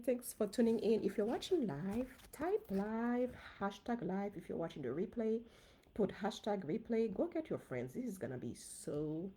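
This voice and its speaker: A woman from Haiti with a Haitian accent, a dentist giving an animated, casual livestream talk to her viewers on a phone. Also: mid-range voice, breathy edge. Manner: animated, talks straight to viewers. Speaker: dentist and finance coach.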